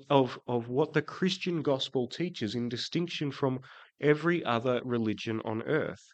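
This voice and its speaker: A man preaching a sermon in church, croaky from a cold, hesitant, with many ums and restarts. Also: medium pitch, no room sound. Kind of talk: sermon with prayer.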